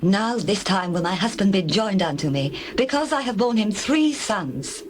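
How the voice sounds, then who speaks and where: A woman's voice: mid-range voice, animated, normal speed, natural voice. A woman playing a devout, unloved young wife and mother in a dramatized scripture reading, earnest and formal. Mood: hopeful yearning. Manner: earnest, formal, archaic diction. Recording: clear background noise, birdsong and doves.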